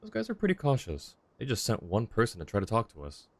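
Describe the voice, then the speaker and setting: A man's voice: lowish voice, wildly swooping pitch, fast talker, a little mellow, no echo. A young man streaming a game live, commenting casually and chatting with his viewers.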